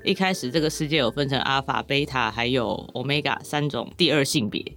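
Fast-talking Taiwanese woman, wry and rambling. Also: rumbling low, fairly bright tone. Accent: Taiwanese Mandarin. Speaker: podcast guest, novelist. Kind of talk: casual explanatory chat.

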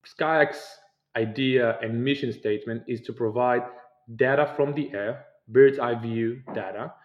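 Israeli-accented founder, roomy remote audio. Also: male voice, medium pitch, unhurried, lo-fi sound. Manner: earnest, explains at length with fillers and non-native phrasing. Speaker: startup founder and CEO, guest. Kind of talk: podcast interview answers.

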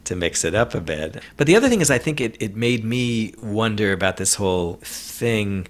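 A man's voice: fairly low pitch, big pitch swings, normal speed. A man co-hosting a podcast, talking things through thoughtfully, often laughing and restarting his sentences. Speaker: podcast co-host. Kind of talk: casual discussion podcast.

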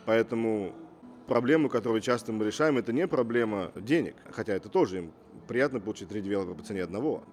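A man's voice: lowish voice, fast talker, darkish tone, faint music behind. A man who grew up in Russia, speaking as a guest entrepreneur in an interview, assertive and fond of rhetorical questions. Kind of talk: casual interview talk.

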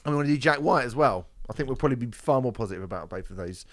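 A man's voice: mid-range voice, lively intonation, racing. A man hosting a casual music podcast, rambling and swearing, his voice a bit off after karaoke. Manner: swearing, rambling, laughs often. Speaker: podcast host.

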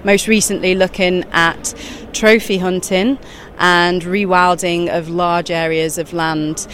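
A woman talking as an interview guest at a busy exhibition show, animated and quick, often laughing mid-sentence. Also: fairly low pitch, a little hiss or hum. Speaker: guest, children's author and eco-journalist. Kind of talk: casual interview chat.

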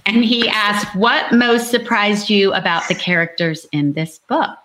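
A woman's voice: mid-range voice, steady tone, unhurried, fairly bright tone, a little hiss or hum, low-quality recording. A woman author talking casually about her novel at a book launch, chatty and explanatory.

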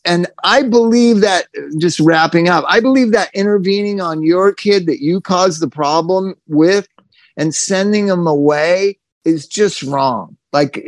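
A man's voice: on the high side, no room sound. A man in his sixties, a podcast host telling rambling, profane stories in casual chat. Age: 60s.

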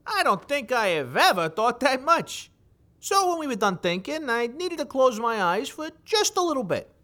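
A cheeky, lazy young sidekick in a comedy audio drama. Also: female voice, sits high, very animated, moderate pace, sharp tone, full character voice. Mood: eager.

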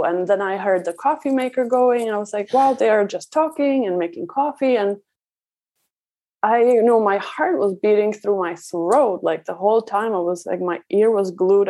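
A woman from the Czech Republic is talking as a guest in an interview. She tells personal stories conversationally, at a middle pitch for a woman. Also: mellow. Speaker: parenting coach, interview guest.

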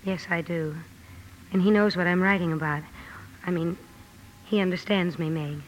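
A woman's voice: lowish voice, fairly even intonation, moderate pace, lo-fi sound, a little hiss or hum, close to an everyday voice. An actress playing a sincere, passionate young woman writer in a 1950s radio drama, earnest and emotional. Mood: quiet, confiding. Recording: old radio broadcast with music.

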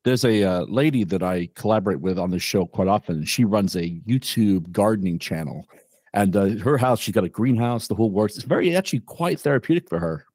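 Low-voiced man hosting a podcast. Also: lively intonation, a little mellow, breathy edge, no room sound. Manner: brisk, formal question-asking. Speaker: podcast host. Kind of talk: interview hosting.

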